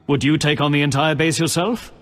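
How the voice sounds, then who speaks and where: An actor playing an earnest, righteous knight in a video game, speaking in a solemn, formal manner. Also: male voice, fairly high pitch, steady tone, brisk, faint music behind, close to an everyday voice. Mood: urgent, resolute. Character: earnest, righteous male knight of a holy order. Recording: long reverberant hall echo.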